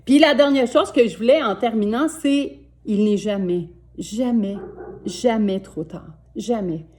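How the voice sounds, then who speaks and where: A woman in her sixties with a Québécois French accent, giving a gentle, earnest self-help talk to camera from home as a life coach. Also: a little high, unhurried, a little hiss or hum. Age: 60s.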